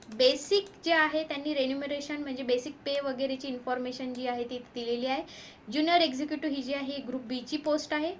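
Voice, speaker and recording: A woman's voice: sits high, fairly bright tone, a little hiss or hum. A woman with an Indian accent explaining like a coaching teacher, reading out points and switching between two languages. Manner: code-switches between Marathi and English terms. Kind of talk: explanatory lesson, reading notification points aloud.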